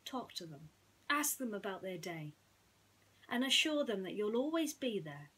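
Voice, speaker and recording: A woman's voice: mid-range voice, sing-song, moderate pace, a bit bright, slightly rough. A woman telling a bedtime story and its simple message to listeners as a storyteller. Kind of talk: bedtime story narration and gentle reflection.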